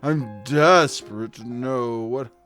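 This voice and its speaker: Man playing a very slow-talking, languid suspect. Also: medium pitch, fairly bright tone, faint music behind. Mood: affected curiosity. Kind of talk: comic audio drama acting.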